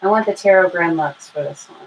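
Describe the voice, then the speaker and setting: An American woman hosting a live tarot reading, chatting informally to her viewers and thinking aloud as she goes. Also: on the low side. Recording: clear background noise and room ambience.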